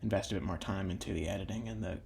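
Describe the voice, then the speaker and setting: A man's voice: deep voice, very fast, gravelly, a little mellow, slight background noise. A young man talking casually while drawing for his own video channel, meandering with many fillers and restarts. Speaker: drawing YouTuber.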